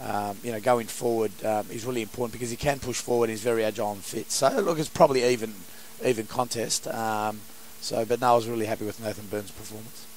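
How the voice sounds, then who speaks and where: Hoarse coach; halting answers after the game. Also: male voice, lowish voice, fairly even intonation, a little hiss or hum, poor audio. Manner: halting, many restarts and fillers. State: hoarse, has lost his voice. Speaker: football coach. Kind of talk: post-match interview.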